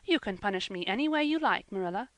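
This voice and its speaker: A woman's voice: medium pitch, animated, quick pace, no echo, close to an everyday voice. A woman doing a dramatic, headstrong orphan girl. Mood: defiant. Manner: dramatic, pleading. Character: imaginative, passionate orphan girl, stubborn. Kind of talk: audiobook character voice.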